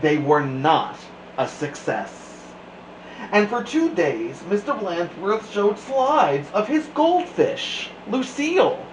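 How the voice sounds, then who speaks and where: Expressive, slow-paced male storyteller. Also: sits high. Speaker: storytime host and reader. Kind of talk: expressive read-aloud narration for children. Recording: echoey room with faint noise.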